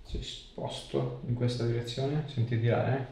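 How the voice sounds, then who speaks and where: A man's voice: mid-range voice, steady tone, moderate pace, warm tone. A man in his thirties working as a manual therapist, guiding and questioning a patient in short phrases. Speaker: osteopath or chiropractor. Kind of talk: hands-on clinical consultation with a patient. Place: small treatment room, indoors. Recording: echoey room, distant mic, background noise.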